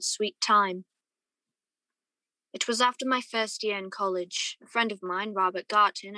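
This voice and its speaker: A woman's voice: mid-range voice, bright, forceful tone, no echo, natural voice. A young woman playing a wistful, courteous man who narrates his memories lyrically in a radio play. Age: young adult. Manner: lyrical, reflective storytelling. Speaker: student actor.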